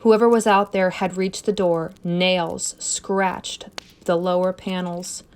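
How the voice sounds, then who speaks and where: A woman reading a scary story aloud as narrator, expressive and suspenseful, with deliberate phrasing. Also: lowish voice, clear, resonant tone. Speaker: story reader.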